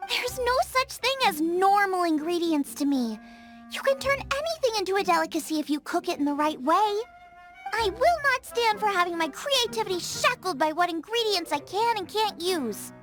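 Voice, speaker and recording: A woman's voice: very high voice, animated, moderate pace, a bit bright, soft background music. A woman voicing a cheerful, headstrong young female chef in a game cutscene, bubbly but stubborn. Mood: defiant. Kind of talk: video game cutscene dub.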